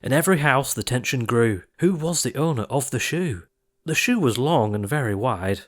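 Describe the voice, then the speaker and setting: A man narrating an audiobook of rhyming verse in a theatrical, expressive storytelling style. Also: medium pitch, moderate pace.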